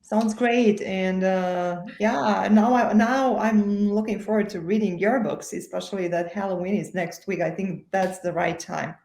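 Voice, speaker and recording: A woman's voice: medium pitch, fairly even intonation, measured pace, vocal fry, hardly any pauses, a little mellow. A woman hosting a live author interview, friendly and curious, with small hesitations as she asks questions. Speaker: host. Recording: roomy echo.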